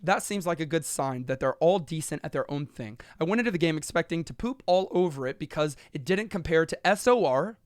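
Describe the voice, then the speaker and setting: A man with a notably high voice reads listener emails aloud on a podcast, keeping a steady pace and breaking in with jokes. The sound is close and completely dry, with no room around it. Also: a little fast, few pauses, no echo. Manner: reads listener letters steadily, with joking asides. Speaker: podcast co-host.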